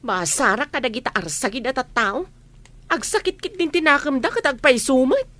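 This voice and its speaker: A woman's voice: sits high, animated, moderate pace, fairly bright tone. A woman playing a brazen, stubborn gossip in an audio drama, arguing insistently and theatrically. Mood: defiant, defensive. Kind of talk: Filipino audio drama acting.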